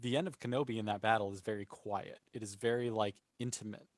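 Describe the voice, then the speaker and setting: A man's voice: on the low side, fairly even intonation, fast, no echo, clean studio sound. A man speaking earnestly and emphatically as a panelist in a casual fan discussion. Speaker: podcast panelist.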